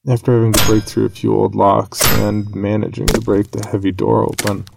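A man reading a scripted first-person horror story as narrator, in a grave, measured manner. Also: lowish voice, level tone, gravelly, very noisy.

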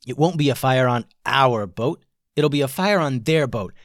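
A man's voice: medium pitch, normal speed, no room sound, clean studio sound, pitched above the performer's own voice, close to an everyday voice. A man doing a fiery, bossy young girl. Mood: commanding. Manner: loud, exclaiming, impatient.

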